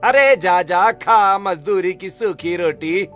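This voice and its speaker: Man acting a high, sneering village rich boy. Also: slow, fairly bright tone, faint music behind. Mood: scornful. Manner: taunting, bragging. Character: boastful, sneering young village landlord's son.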